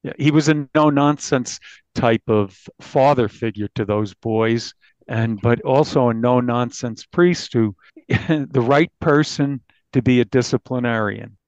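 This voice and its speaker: A mature American man with a gravelly voice, a reporter recounting a story in a conversational interview. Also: mid-range voice, measured pace, a little mellow, no echo. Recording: dull, slightly lo-fi, dry.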